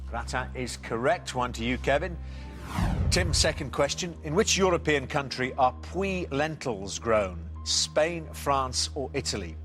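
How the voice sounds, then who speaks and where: A man's voice: mid-range voice, lively intonation, a bit bright, faint music behind. A man hosting a TV quiz show, reading out questions and bantering lightly with contestants. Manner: brisk, reads questions clearly, light banter.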